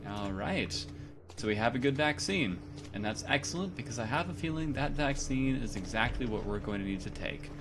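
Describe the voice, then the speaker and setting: Chatty gamer guy with lively voice. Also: medium pitch, moderate pace, noisy background. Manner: chatty, self-mocking, talks to the game character. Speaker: let's play gamer. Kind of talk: casual game commentary. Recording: game music behind.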